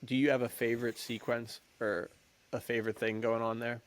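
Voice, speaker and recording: A man's voice: medium pitch, flat delivery, brisk. A man co-hosting a podcast, chatting casually and informally about films in an easygoing, appreciative way. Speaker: podcast co-host. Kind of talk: casual film discussion chat.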